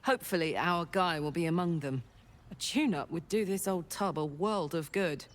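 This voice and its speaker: A woman voicing a brash, warm-hearted tiefling warrior in a video game, with cocky soldierly banter. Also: lowish voice, expressive, normal speed, natural voice. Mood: playful, cocky. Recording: music behind the voice.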